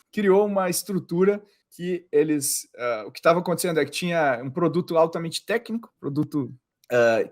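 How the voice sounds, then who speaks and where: Brazilian podcast host, kinda higher voice, repeats himself a lot. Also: male voice. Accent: Brazilian Portuguese. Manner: restarts phrases, repeats words. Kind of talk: casual interview hosting.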